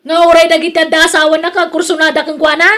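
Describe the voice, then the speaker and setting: A woman's voice: very high-pitched, little pitch movement, racing, fairly bright tone. A woman with an Ilocano accent acting as a jealous, suspicious wife in a radio drama, insistent and scolding. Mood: indignant, scolding.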